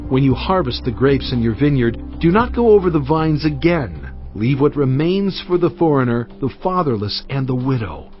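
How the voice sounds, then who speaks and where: A man narrating scripture in a solemn, measured and emphatic dramatized reading. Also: medium pitch, big pitch swings. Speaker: Bible narrator. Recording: dull audio with music bed.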